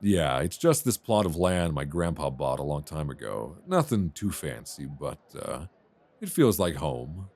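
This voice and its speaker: A man's voice: extremely low pitch, very animated, unhurried, a little mellow, heavily acted. A man playing a chatty, easily flustered, flirtatious airline passenger in a romance roleplay audio, rambling and hesitating in a self-deprecating way. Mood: playful, wry. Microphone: close binaural microphone.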